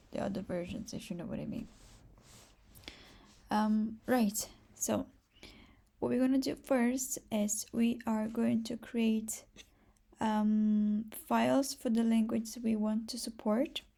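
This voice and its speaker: A soft-spoken woman with a Brazilian accent, a native Portuguese speaker, giving a casual step-by-step screencast tutorial. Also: medium pitch, vocal fry. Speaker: programming tutorial host.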